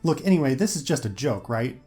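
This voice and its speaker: A man's voice: medium pitch, very animated, fairly quick, dark tone, lightly acted. A man voicing a nervous, skeptical, wisecracking teenage schoolboy in an audio story with music behind. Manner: flustered, quipping.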